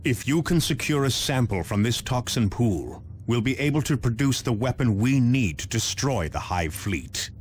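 An actor playing an earnest space marine officer, giving a measured, formal mission briefing in a video game. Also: male voice, mid-range voice, lively intonation, slight background noise, lightly acted. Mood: serious, formal.

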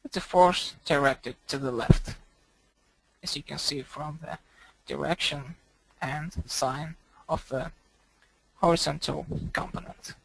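A man presenting a software tutorial as a screencast voice-over, speaking haltingly in short broken phrases. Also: on the high side, vocal fry, breathy edge, no room sound, poor audio.

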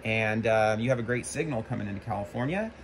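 An American man chatting casually as a ham radio operator and video host, halting and informal. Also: lowish voice. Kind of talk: casual ham radio chat and vlog narration. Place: covered backyard patio, outdoors. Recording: faint outdoor background noise.

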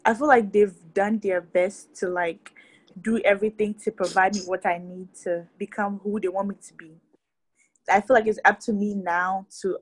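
A woman's voice: medium pitch, breathy edge, low-quality recording. Hesitant teen girl on a video call. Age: teens. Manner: hesitant, halting with fillers. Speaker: guest. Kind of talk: casual video call chat. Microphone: phone on video call.

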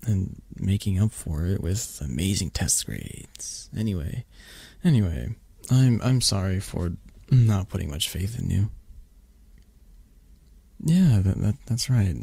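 Man as an affectionate husky, low-voiced dragon boyfriend. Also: big pitch swings, dry recording, heavily acted. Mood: tender, caring. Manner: soft, breathy, halting, cooing. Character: affectionate, teasing young male dragon boyfriend. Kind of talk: intimate ASMR roleplay.

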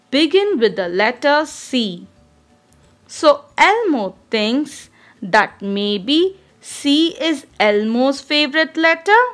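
Lady reading a picture book to little kids, really slow, voice swings up and down a lot. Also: high voice. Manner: expressive, deliberate, child-directed delivery. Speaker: storybook reader. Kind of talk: children's read-aloud storytelling.

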